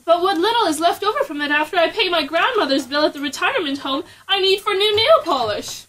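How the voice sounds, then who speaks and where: Vain, perky young secretary for comic audio drama female performer; very high, animated voice. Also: fast. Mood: chatty, breezy.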